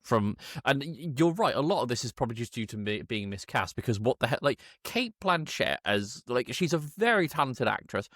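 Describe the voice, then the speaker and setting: Lively, sardonic man on a podcast. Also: mid-range voice, fairly quick, hardly any pauses, no echo, clean studio sound. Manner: sardonic, exasperated, sweary. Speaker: podcast co-host. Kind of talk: casual film review chat.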